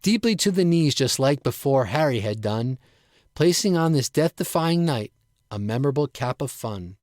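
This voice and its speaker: A man giving an expressive reading of his own poem. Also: medium pitch, moderate pace, no echo, clean studio sound. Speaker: poet reading own work.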